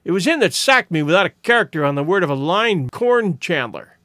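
A man voicing a morose, suspicious country innkeeper in an audiobook story, speaking gruffly and in rustic phrases. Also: high-pitched, lively intonation, fairly quick, sharp tone, no room sound, studio quality. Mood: resentful.

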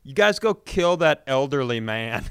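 Clear-voiced man telling profane comic stories. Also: mid-range voice, animated, unhurried, dry recording, clean studio sound. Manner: profane, exasperated storytelling. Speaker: comedy podcast host. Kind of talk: casual comedic chat.

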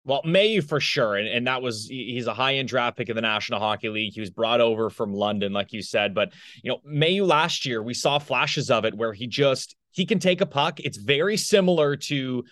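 A man's voice: medium pitch, expressive, fairly quick, fairly bright tone, dry recording, studio quality. A man co-hosting a sports podcast in casual, loose analysis, rephrasing as he goes.

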